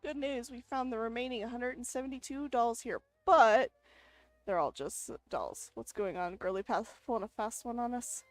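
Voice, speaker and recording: A woman's voice: fairly high pitch, normal speed, no room sound. A woman gamer giving casual commentary as she plays through a story game. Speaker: gamer playing on camera. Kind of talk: casual game playthrough commentary and reading aloud. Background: game music.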